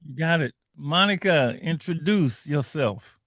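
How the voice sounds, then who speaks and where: High bright-voiced male radio host. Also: animated, measured pace, no echo, clean studio sound. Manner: laughs often, repeats words, street slang. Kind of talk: casual talk radio.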